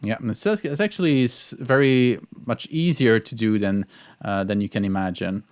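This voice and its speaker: A man giving an informal music tutorial as a composer, speaking in halting, repeated phrases. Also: fairly low pitch. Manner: sings out rhythms in syllables. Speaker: composer teaching orchestration.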